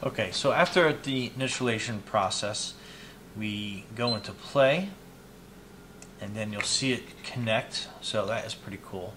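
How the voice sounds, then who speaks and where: A man in his fifties giving a casual, unhurried hands-on product demo, thinking aloud as he goes. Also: fairly low pitch. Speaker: product reviewer and video host. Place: small indoor hobby room at a desk. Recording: clear background noise, room ambience.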